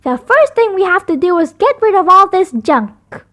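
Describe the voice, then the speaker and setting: A fed-up mother bear from a storybook, as a woman reader plays her, strict about the rules. She speaks high, with animated pitch. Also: normal speed, heavily acted.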